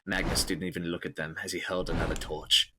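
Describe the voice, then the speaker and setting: A man's voice: deep voice, little pitch movement, quick pace, background noise. A man delivering scripted, dramatic narration of a gothic horror story.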